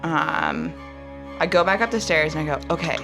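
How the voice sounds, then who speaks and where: Woman, slightly low voice, casual tabletop roleplay, music underneath. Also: normal speed, vocal fry. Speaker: player in a tabletop game.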